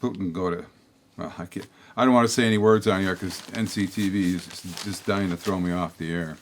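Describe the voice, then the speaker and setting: An older man hosting a local public-access opinion show, talking bluntly in a meandering way with many restarts and asides. His voice is slightly hoarse from a throat tickle. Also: sits low, fairly even intonation, a little fast, a little hiss or hum. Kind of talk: rambling opinion monologue to camera.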